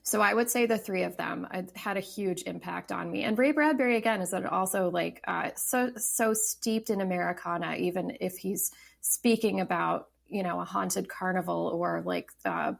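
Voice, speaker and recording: A woman's voice: on the low side, moderate pace, gravelly. A woman in her thirties, a novelist talking casually as a podcast guest, thoughtful, with many fillers and restarts. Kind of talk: casual interview talk.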